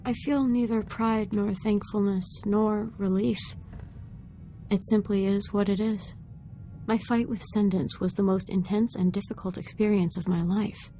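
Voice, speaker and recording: A young female Sith apprentice from a video game, played by an actress, with a dark, mellow voice at an average pitch. She sounds earnest and troubled, choosing formal, grave words. Quiet music plays underneath. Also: fairly even intonation, a little slow, close to an everyday voice, rough recording. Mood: solemn, drained. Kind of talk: dramatic video game voice acting.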